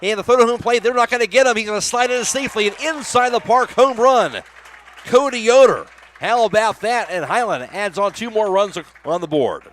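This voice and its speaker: A man's voice: high voice, expressive, brisk, a bit bright, a little hiss or hum. A man doing live play-by-play baseball commentary for a radio broadcast. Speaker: play-by-play announcer.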